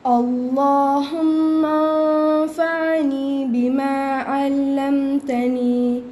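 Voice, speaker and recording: A teenage girl recites prayers and their translations phrase by phrase in a measured way. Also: high voice, level tone, mellow, faint music behind. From India. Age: teenager, ninth grade. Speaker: student reciter. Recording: faint background noise, school intercom assembly.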